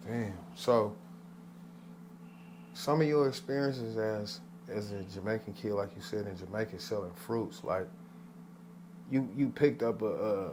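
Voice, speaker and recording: A man's voice: on the low side, a little slow, long pauses, background noise. A man hosting a podcast interview in a loose, laid-back way, often saying "man" and "come on". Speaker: podcast host.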